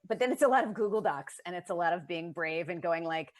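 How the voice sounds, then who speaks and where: Lady playwright on a panel, slightly low voice, candid and casual. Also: expressive, fast.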